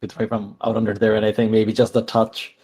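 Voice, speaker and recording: A man's voice: on the low side, monotone, fast talker, darkish tone, slightly rough. A man playing a tabletop roleplaying game, improvising his character's actions as he thinks aloud, hesitantly.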